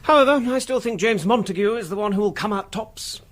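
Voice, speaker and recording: An actor playing a genial British college fellow in a radio drama, donnish, considered and given to wry jokes. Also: male voice, high-pitched, lively intonation, fairly quick. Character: genial, jokey male college fellow weighing up candidates.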